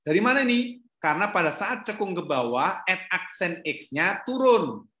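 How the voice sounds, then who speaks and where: Bright-voiced man lecturing in an echoey room. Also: high voice, big pitch swings, moderate pace. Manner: questioning, self-correcting, repeats "ya". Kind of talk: online calculus lecture. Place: home room, not office.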